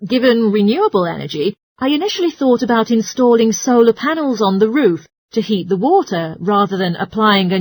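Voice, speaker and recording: A woman's voice: a little high, slightly rough, no room sound. A woman presenting a scripted, radio-style advice talk in an explanatory, instructional manner. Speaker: presenter of a listening-test talk.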